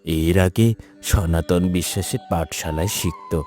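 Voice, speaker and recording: A man's voice: low voice, fairly even intonation, a little slow, dark tone, soft background music. A man playing a caring village father in a cartoon, speaking politely and earnestly. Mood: respectful, earnest.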